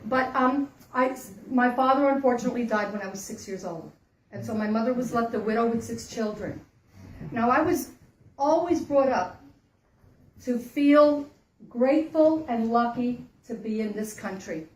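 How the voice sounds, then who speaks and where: A woman's voice: fairly high pitch, normal speed. Woman in her 60s, earnest and emphatic campaign speaker, recorded from a distance in a roomy space with faint hiss. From Dominican Republic. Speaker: congressional candidate. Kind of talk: campaign stump speech. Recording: echoey room, mic from far off.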